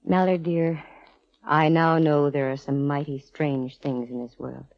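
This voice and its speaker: An American actress playing a sharp female private eye in 1940s radio drama, explaining things with dramatic, measured pauses. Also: low-pitched, level tone. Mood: solemn, explanatory. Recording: dull vintage radio recording with music.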